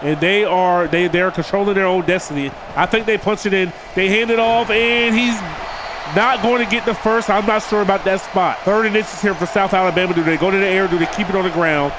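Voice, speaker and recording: A man's voice: high-pitched, steady tone, brisk, background noise. Nasal, stuffed-up man calling game plays. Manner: addresses viewers as "boys", hypes big plays. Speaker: gaming video creator. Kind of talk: play-by-play commentary over a football video game.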